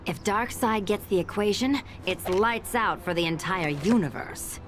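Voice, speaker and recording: An actress playing a confident, wry superheroine in a video game. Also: female voice, medium pitch, lively intonation, unhurried, close to an everyday voice. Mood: grave. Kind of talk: video game cutscene acting. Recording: loud music over the voice.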